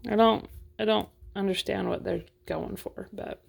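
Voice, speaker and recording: A woman's voice: mid-range voice, steady tone, moderate pace, creaky, darkish tone. A woman chatting casually through a doll unboxing review, frank and full of fillers, while losing her voice.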